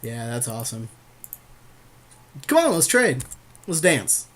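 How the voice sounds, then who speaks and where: A man's voice: medium pitch, wildly swooping pitch, takes it slowly, a bit bright. A man co-hosting a gaming podcast, chatting informally with his partner about card plays, with some swearing. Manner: informal, profane banter. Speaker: podcast co-host playing an online card game. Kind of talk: casual game commentary, chat with partner.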